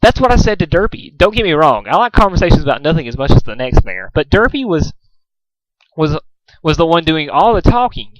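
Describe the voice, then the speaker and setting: A man's voice: a little high, big pitch swings, a little fast, voice pushed up. A man voicing a hyper, chatty young female cartoon pony who rambles on obliviously and playfully. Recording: dull lo-fi home recording.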